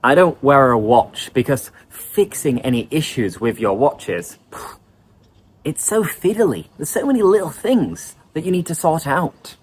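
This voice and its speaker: A man teaching English vocabulary in an online lesson, explaining words in a lively way. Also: fairly high pitch, unhurried, slight background noise.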